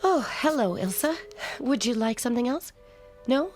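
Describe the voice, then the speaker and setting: An actress playing an anxious, grieving housewife who is possessively tender, speaking in a hushed, halting, strained way in a radio drama. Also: female voice, on the high side, animated, faint music behind, lightly acted. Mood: tender, wistful. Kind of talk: naturalistic radio drama acting.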